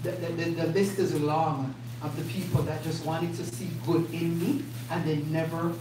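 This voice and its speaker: A woman's voice: low voice, normal speed. A woman hosting a community event, speaking with emphatic, repetitive energy to the crowd. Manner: warm, repetitive, emphatic, animated. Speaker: event host. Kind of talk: live event speech to a crowd. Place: community event space indoors. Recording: echoey room recorded from a distance, noisy.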